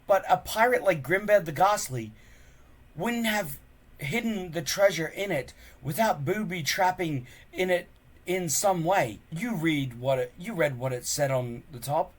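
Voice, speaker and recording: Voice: mid-range voice, lively intonation, unhurried, voice pushed up. A reader voicing an earnest, eager, hapless boy hero in a children's storybook, with a raised voice. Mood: anxious, reasoning.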